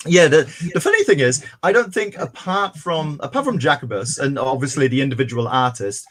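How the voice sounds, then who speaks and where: Man, chatty, rambling, lively up-and-down voice, slightly high. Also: slight background noise. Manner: chatty, rambling, quick bursts of excitement. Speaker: co-host of a comics livestream. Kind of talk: casual chat.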